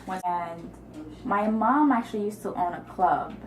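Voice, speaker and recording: A woman's voice: mid-range voice, a little slow, echoey room, slight background noise, rough recording. A woman from Haiti, an event coordinator and talk show guest, chatting in a giggly way and acting out her own thoughts. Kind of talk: casual interview chat.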